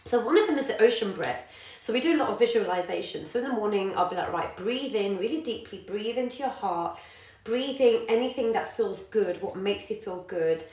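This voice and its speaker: A woman's voice: medium pitch, expressive, a little fast. A woman, a yoga teacher and parent chatting casually to live viewers with many ums. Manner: warm encouraging, many ums, greets viewers by name. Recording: echoey room.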